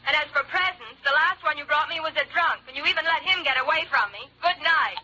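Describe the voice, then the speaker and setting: A woman's voice: sits high, fast, bright, forceful tone, poor audio. An actress playing a snippy, scolding girlfriend through a telephone effect in a 1940s radio drama. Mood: irritated. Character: fed-up, sharp-tongued girlfriend, adult woman.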